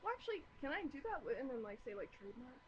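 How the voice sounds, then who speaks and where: A woman's voice: sits high, very animated, rapid-fire, lo-fi sound, slight background noise. A woman guest in casual chat, rambling with lots of fillers.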